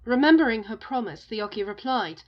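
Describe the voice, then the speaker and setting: A woman's voice: fairly high pitch, animated, a little fast, dull audio. A woman narrating a folktale as a storyteller, in a scripted storybook style.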